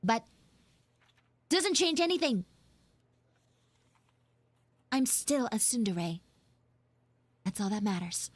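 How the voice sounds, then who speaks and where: Woman playing a high-voiced, pouty tsundere anime girl. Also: very animated, no room sound, full character voice. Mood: indignant. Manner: defensive, pouty, halting and repetitive. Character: flustered, defensive tsundere anime schoolgirl, mean but secretly soft. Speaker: livestreamer and VTuber voice actress. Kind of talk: improvised live audio roleplay with chat asides.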